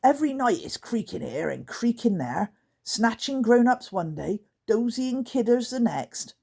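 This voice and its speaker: A woman's voice: lowish voice, very animated, a little slow, creaky, slightly rough, exaggerated voice. A woman voicing Scratch, a sly male night creature who speaks in broken grammar and snickers. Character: sly scalp-picking male creaker creature.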